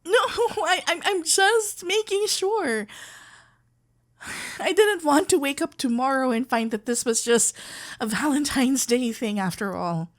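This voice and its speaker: A woman playing a flustered, lovestruck young florist in an ASMR roleplay, stammering and halting, breathless and giddy. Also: sits high, lively intonation. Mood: shy, hopeful.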